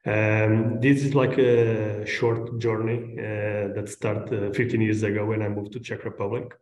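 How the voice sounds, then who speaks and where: Hesitant Portuguese man, mellow, roomy sound. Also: fairly low pitch, flat delivery. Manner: hesitant, halting delivery. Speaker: guest lecturer, architect. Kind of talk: informal online lecture. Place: indoors on a video call, roomy sound. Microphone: video call at desk distance. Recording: roomy, echoing video-call sound.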